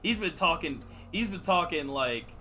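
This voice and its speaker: A man's voice: fairly high pitch, lively intonation, normal speed, over music. A man co-hosting a podcast in casual chat, appreciative and often repeating his phrases. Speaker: podcast co-host.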